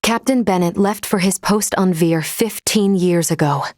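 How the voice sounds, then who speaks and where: A woman playing a logical, composed female android officer in a video game, speaking formally and precisely in a measured, unemotional way. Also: lowish voice, darkish tone, no room sound, clean studio sound, close to an everyday voice. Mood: calm, analytical.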